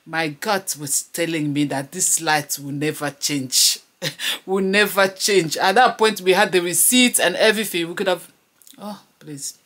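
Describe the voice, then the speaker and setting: A woman's voice: fairly low pitch, measured pace, fairly bright tone. Woman, 30s, from DR Congo, casual vlog, close camera, small home room, repeats phrases. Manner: chatty, repeats phrases, laughs between lines. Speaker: vlogger. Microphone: camera close to the face.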